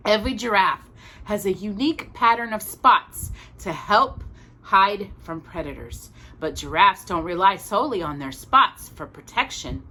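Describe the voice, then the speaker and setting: A woman reads a children's storybook aloud in a bright, forward voice. She takes her time and speaks expressively, as if sharing each page with a young listener. Her pitch sits in a middle range for a woman. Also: measured pace, low-quality recording. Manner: expressive, with small interjected asides to a child listener. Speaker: storytime reader. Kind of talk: children's picture book read-aloud.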